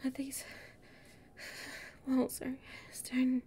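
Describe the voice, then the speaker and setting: A woman acting a weary, anxious human exploring a castle in an audio roleplay, breathy and hesitant, muttering to herself. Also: sits high, monotone, very slow, dark tone, slight background noise. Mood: shivering, weary.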